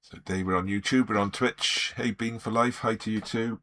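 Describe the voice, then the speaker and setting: A middle-aged Welsh man with a slightly croaky, sore throat, chatting easily and greeting viewers by name while he draws on a live stream. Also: on the low side, steady tone, normal speed, a bit bright, a little hiss or hum. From Wales. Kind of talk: relaxed live-stream chat while drawing.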